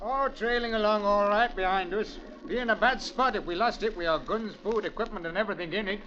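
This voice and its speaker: An actor playing a worried, loyal old manservant with a Northern English accent in a vintage radio drama. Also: male voice, high-pitched, fairly quick, sharp tone. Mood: uneasy. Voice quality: broad country dialect, worried. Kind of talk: 1950s radio drama acting. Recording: muffled old radio broadcast.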